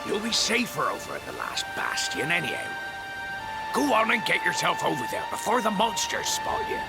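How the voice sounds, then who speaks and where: A man playing a hearty, kindly old fisherman in a video game cutscene, with gravelly, folksy seafarer talk. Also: fairly high pitch, heavily acted. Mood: regretful, kindly. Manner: folksy seafarer talk, refers to himself by name. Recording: game music under the voice.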